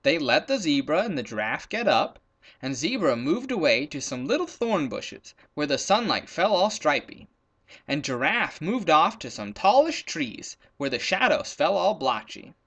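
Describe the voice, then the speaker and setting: Guy reading a kids story out loud, super animated, voice goes up and down loads. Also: a little high, normal speed. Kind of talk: animated children's story reading, scripted narration.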